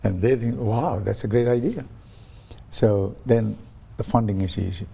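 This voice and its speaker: A man's voice: lowish voice, measured pace, creaky, warm tone, slightly rough. A man interviewed as a guest on a talk show, explaining his ideas through stories and acting out quoted voices, with frequent restarts. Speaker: guest, science ambassador.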